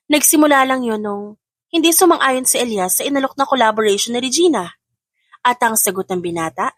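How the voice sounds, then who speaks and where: Higher-voiced woman narrating a drama. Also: no echo, clean studio sound. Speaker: audiobook narrator. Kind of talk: scripted dramatic story narration.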